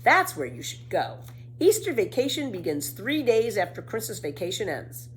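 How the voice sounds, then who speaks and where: A woman's voice: medium pitch, sing-song, moderate pace, a little hiss or hum, pitched above the performer's own voice, close to an everyday voice. A woman voicing a cheeky, boastful young girl who spins tall tales in a children's storybook, with breezy, emphatic delivery. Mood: insistent. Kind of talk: children's storybook read aloud.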